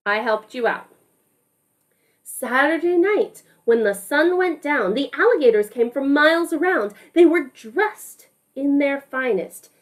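A woman who teaches music, reading a picture book aloud to young children on a video call, expressive and affectionate, often putting questions to them. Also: high voice, unhurried. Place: online class over video call.

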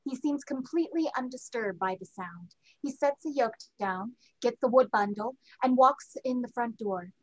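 A woman's voice: fairly high pitch, no room sound, low-quality recording. A woman narrating stage directions in a scripted play reading. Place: home room, video call.

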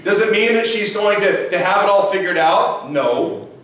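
A man's voice: high voice, lively intonation, normal speed, a bit bright. A middle-aged man with a big voice, preaching a conversational sermon in a church sanctuary and asking rhetorical questions, recorded by a camera at a distance. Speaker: pastor. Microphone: far camera, room mic. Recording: echoey church sanctuary, mic from afar.

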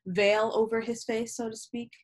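Young woman, native yoruba speaker, lively candid talk, webcam audio, small home room. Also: fairly high pitch, normal speed, faint music behind. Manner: animated, gesturing, candid with swearing. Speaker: poet, interview guest. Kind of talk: casual reflective conversation. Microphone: laptop webcam on video call.

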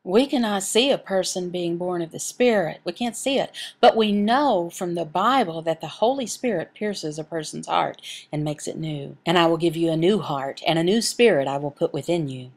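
A woman voicing a sermon as an interpreter, phrase by phrase, with halting delivery and emphatic repetition. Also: fairly low pitch. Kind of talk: sermon teaching, spoken as a voice-over interpretation.

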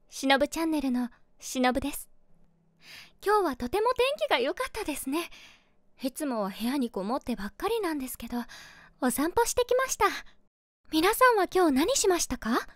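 A woman as a high-voiced, polite young streamer. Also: fairly bright tone, no echo, clean studio sound, heavily acted. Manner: polite, addressing listeners like a livestream host. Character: sociable, polite streamer woman in her late twenties. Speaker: voice actress in audio drama. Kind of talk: situation voice drama.

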